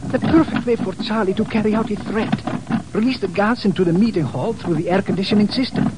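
Man acting a dutiful foreign colonel, fairly high voice, formal and earnest, vintage radio drama, noisy clicking recording. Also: close to an everyday voice. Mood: dismayed. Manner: formal, deliberate phrasing.

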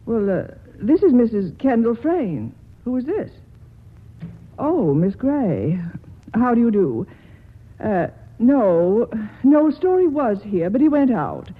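An actress playing a wealthy, dignified woman with a sharp, wounded manner in a 1940s radio play. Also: fairly high pitch, breathy edge, big-room sound, heavily acted. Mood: flustered. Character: rich proud adult woman, jealous. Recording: tinny old radio broadcast.

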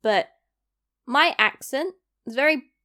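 A woman's voice: sits high, animated, slow pace, pushed and bright. Chatty young London woman. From East London, England. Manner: chatty, self-deprecating, rambling with asides. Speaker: vlogger. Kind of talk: casual chat to camera.

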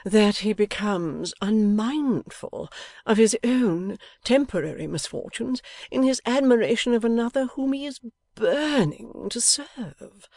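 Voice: mid-range voice, wildly swooping pitch, a little mellow, breathy edge, no room sound, voice pushed up, heavily acted. A storybook reader voicing an airy society wife who is falsely innocent, archly playful and coquettish. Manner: coquettish, wheedling, drawn-out delivery.

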